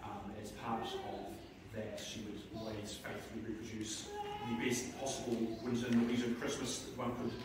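A middle-aged man giving a eulogy for his mother in a large church, speaking in a halting way with many restarts. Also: fairly low pitch, flat delivery, background noise. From New Zealand. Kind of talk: eulogy at a memorial service. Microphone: far camera in the pews. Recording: echoey church from far off.

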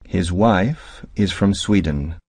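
A man reading short English phrases one at a time with slow, careful enunciation for a language drill. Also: deep voice, a little mellow, breathy edge, dry recording, clean studio sound.

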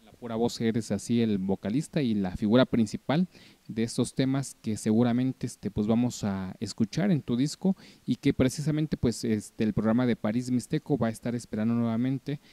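A man's voice: mid-range voice, moderate pace, warm tone, no room sound. A young man hosting an interview, asking questions in a wordy way with many fillers, on a handheld mic indoors. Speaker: interviewer host. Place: small indoor room.

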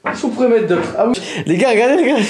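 High, lively young man vlogging in a bedroom. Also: very fast, a crowd in the background. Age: young adult. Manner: excitable, addresses viewers as "les gars", laughs often. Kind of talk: casual vlog to camera. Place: indoor bedroom at home. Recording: roomy echo, voice from off-mic.

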